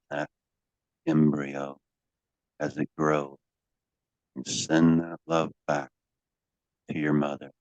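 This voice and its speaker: A man working as a hypnotherapy coach, guiding a session in a deliberate, commanding way with drawn-out pauses and phrases to be repeated. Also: extremely low pitch, darkish tone. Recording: dull, echoey lo-fi room sound.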